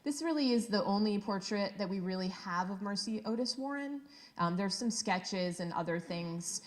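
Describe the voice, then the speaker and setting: A middle-aged woman, a high school history teacher, giving an enthusiastic guest talk to an audience with a big projecting voice, speaking into a handheld microphone. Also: normal speed. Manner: energetic, enthusiastic, teacherly with many fillers. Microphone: handheld microphone held low.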